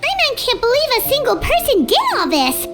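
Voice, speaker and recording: A woman voicing a small, chirpy, fairy-like companion girl who talks about herself in the third person and exclaims with wide-eyed excitement. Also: extremely high pitch, a little fast, exaggerated voice. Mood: amazed. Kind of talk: video game voice acting. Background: faint game music.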